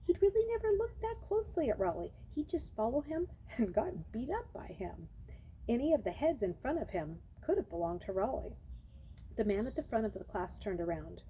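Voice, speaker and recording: A woman's voice: a little high, big pitch swings, brisk, mellow, slight background noise, low-quality recording. A woman reading a children's novel aloud, narrating expressively and dramatizing the story.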